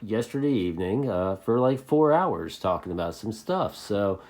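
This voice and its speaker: American male hobby vlogger, lowish pitch, casual and unscripted, rambling delivery with repeated phrases. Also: expressive, moderate pace, darkish tone. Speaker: CB radio hobbyist video host. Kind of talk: casual unscripted video chat to fellow hobbyists.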